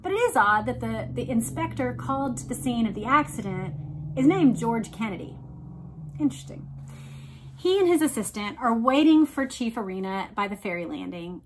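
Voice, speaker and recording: A woman hosting a read-along, reading aloud and adding emphatic explanations addressed directly to listeners. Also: a little high. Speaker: host of a read-along discussion.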